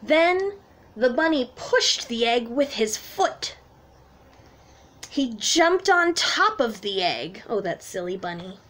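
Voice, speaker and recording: A woman's voice: fairly high pitch, slow pace. A woman in her thirties, a children's teacher, reading a picture book aloud expressively to young children. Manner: expressive, simple explanations addressed to young children. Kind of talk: children's storytime read-aloud. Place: small room at home, indoors.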